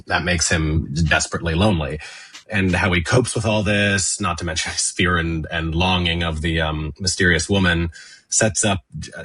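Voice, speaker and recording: A man being interviewed on a podcast, answering conversationally in a halting, hesitant manner. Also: low-pitched, fairly bright tone, slightly rough.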